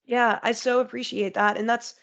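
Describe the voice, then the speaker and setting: Woman, market strategist guest, nasal from a cold, heavy vocal fry, clear and conversational. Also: on the high side, steady tone, moderate pace, muffled recording. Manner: explains in clear plain terms, lists points. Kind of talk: conversational podcast interview.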